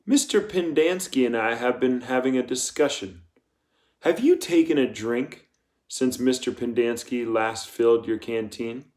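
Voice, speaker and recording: Male reader as female camp warden for audiobook; slow, soft-spoken, icy courtesy with quiet threat. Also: medium pitch, close to an everyday voice. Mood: coldly polite. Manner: slow, deliberate, coldly polite. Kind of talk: audiobook character voice.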